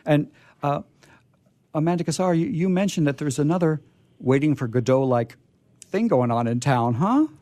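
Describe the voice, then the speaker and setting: Mellow, animated man hosting a radio show. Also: on the high side, moderate pace, long pauses, no room sound. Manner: rambling, hesitant, repeating himself. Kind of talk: casual unscripted show chat.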